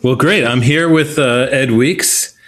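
A man hosting a podcast, chatting casually with many fillers and reading an ad in a relaxed way. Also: mid-range voice, takes it slowly. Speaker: podcast host. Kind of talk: casual podcast chat and host-read ad.

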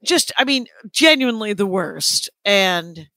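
Female comic co-host, hoarse and weary, blunt deadpan delivery, bright edge, dry sound. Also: medium pitch, unhurried, no echo. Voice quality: scratchy voice. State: hoarse, tired. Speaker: comedian podcast co-host. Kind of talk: casual chat.

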